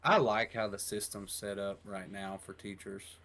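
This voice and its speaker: A man in his twenties, a teacher and coach, chatting casually on a podcast and working through numbers out loud. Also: lowish voice, moderate pace. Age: 20s. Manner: relaxed, rambling, works through numbers aloud.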